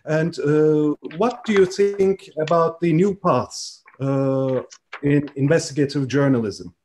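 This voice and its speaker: Male moderator, slightly high pitch, halting and filler-heavy. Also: darkish tone, a little hiss or hum. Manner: halting, many fillers and restarts. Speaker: conference moderator. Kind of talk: moderating a conference panel.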